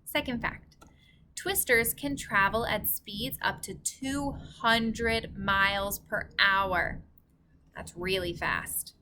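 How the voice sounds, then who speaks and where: Female storybook reader, teacher type: slow, careful delivery, bright tone, addressing kids. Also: mid-range voice, slight background noise. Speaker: teacher reading aloud to children. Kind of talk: storybook read-aloud narration.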